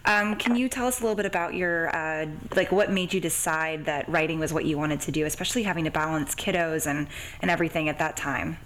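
Female podcast host, slightly low pitch. Informal, hesitant interviewer delivery over light background noise. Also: fast talker, fairly bright tone. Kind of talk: casual interview chat.

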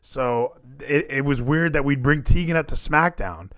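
A man talking casually and animatedly as a podcast co-host, full of quick asides. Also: on the high side, lively intonation, a bit bright, no echo, clean studio sound. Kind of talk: casual wrestling chat.

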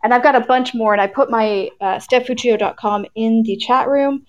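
A woman's voice: fairly high pitch, moderate pace, lo-fi sound. A woman podcaster speaking casually as a guest in a live podcast chat.

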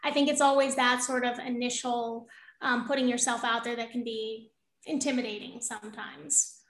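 A young woman with a high, bright-sounding voice talks in a bubbly, encouraging way, breaking into laughs as she chats. She is a guest on a podcast, and her voice rings out in a noticeably echoey room. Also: steady tone, normal speed. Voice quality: high-pitched voice. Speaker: podcast guest, real estate investor. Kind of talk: casual interview conversation.

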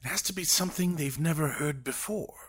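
An actor playing a brash, excitable, stubborn radio director in an audio drama, speaking with grand theatrical emphasis. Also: male voice, a little high, brisk, husky. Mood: brooding, searching. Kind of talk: audio drama acting set in 1930s radio world.

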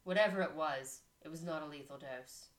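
A woman's voice: low voice, brisk. A woman co-hosting a casual podcast, reading a story aloud and stopping to chat about it. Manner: reads story text, breaks off to chat and laugh.

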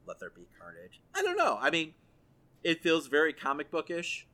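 A man's voice: on the high side, wildly swooping pitch, normal speed, pushed and bright. A man co-hosting a podcast, chatting casually through entertainment news. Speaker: podcast co-host.

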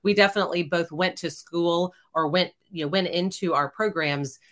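A woman in her fifties from Texas, a former news anchor speaking as a guest, halting at times and repeating words. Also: fairly low pitch, a bit bright. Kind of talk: casual interview conversation.

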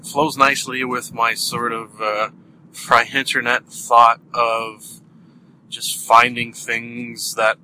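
A man's voice: medium pitch, level tone, slow pace, sharp tone, a little hiss or hum, low-quality recording. A man with a Canadian accent hosting a casual solo podcast, rambling with many fillers and small chuckles. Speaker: podcast host.

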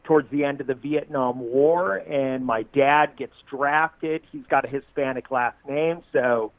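A man's voice: medium pitch, measured pace, no room sound. Brief: forties man from Southern California, musician guest, loose and joking, phone-thin and dry. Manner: rambling and jokey, laughs often. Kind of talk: casual podcast interview. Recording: tinny, dry phone-like line.

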